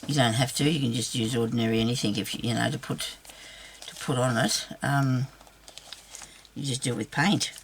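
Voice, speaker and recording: A middle-aged woman with a low raspy voice, chatting and rambling to viewers through a crafting demo. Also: steady tone, fairly quick, slight background noise. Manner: rambling, self-chuckling, talks to viewers. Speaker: craft vlogger.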